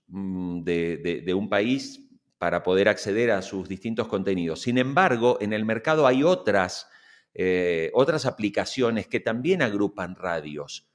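A middle-aged man presenting a podcast and interviewing guests. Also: lowish voice.

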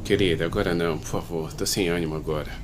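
Casting: male voice actor as worn-out, gruff, loving Brazilian dad for a game dub, low-pitched. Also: steady tone, fast, background noise, close to an everyday voice. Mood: weary. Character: tired, gruff but tender working father. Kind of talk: fan dub of video game cutscene.

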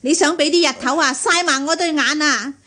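An actress playing an irritable, commanding sick mistress of a wealthy house in a radio drama. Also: female voice, high voice, a little fast, pushed and bright. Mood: angry, accusing. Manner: commanding, accusing, querulous. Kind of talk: Cantonese radio drama acting.

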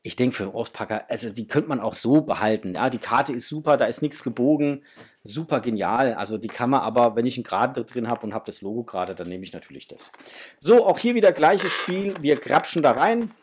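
A man in his forties with a mid-pitched, somewhat rough-edged voice talks like an enthusiastic collector on a vlog. He addresses his viewers informally, as friends, while showing off what he unpacks. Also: lively intonation, a little fast. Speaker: toy unboxing vlogger. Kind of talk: casual chat, enthusiastic product unboxing. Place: indoors, small room with framed posters.

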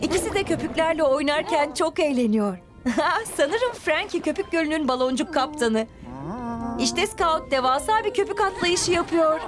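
A woman narrates a children's cartoon in a high voice. She is expressive and addresses both the characters and young viewers. Music plays steadily behind her. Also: normal speed.

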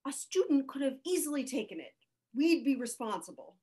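A woman acts out a formal school principal in a sitcom. Her voice is a bit high, her manner dry and official. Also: big pitch swings, a little fast, dark tone. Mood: stern. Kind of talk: table read of a sitcom pilot. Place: home room on a video call.

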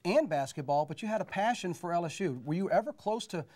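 A man's voice: high voice, expressive, darkish tone. A man in his forties interviewing a former player on a TV studio set, asking long, enthusiastic questions on a lapel mic. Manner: brisk, enthusiastic storytelling questions. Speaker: sports TV interviewer. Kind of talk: TV sports interview.

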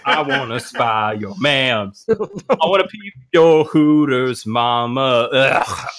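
Bright, slow-paced man reading comedy aloud. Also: mid-range voice, animated. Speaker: podcast panelist reading documents aloud.

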